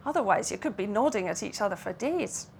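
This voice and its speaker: A woman's voice: mid-range voice, expressive, fairly quick, gravelly, darkish tone, a little hiss or hum. Woman reading as a teasing young Russian gent. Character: teasing young Russian man, playful, with a Scots-tinged accent.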